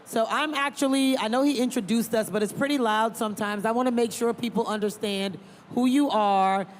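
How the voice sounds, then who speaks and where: A woman originally from Liberia moderating a live panel, chatty and quick to laugh. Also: on the high side, steady tone, a little hiss or hum. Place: large convention hall.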